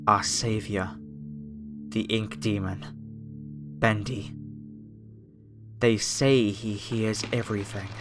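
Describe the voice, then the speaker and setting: A man playing a fanatical masked cultist captor in a horror ASMR roleplay, speaking with reverent, preacherly menace and deliberate pauses. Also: lowish voice.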